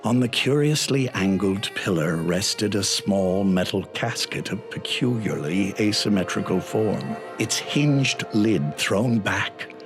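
Deep, grave horror narrator. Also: male voice, expressive, takes it slowly, few pauses, music in the background. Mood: grave, ominous. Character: grave male storyteller of gothic horror. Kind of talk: literary horror narration in radio drama.

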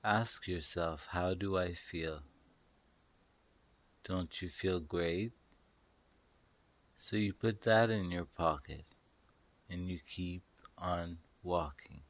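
A man narrating a guided meditation as a slow story, speaking deliberately in short, paused phrases and instructing the listener. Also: sits low.

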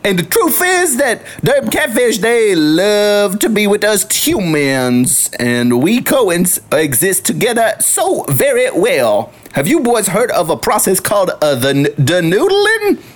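A woman playing an excitable, folksy catfish prince from Louisiana with a Southern accent, rambling in an improvised comedy roleplay. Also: medium pitch, slow pace, few pauses. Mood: proud, animated.

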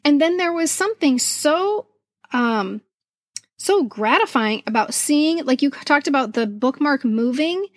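Woman, middle-aged, American accent, higher voice, expressive conversational storytelling, dry close sound. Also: a little slow, no room sound. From United States. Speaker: podcast co-host and children's author. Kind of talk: casual conversational storytelling about childhood memories.

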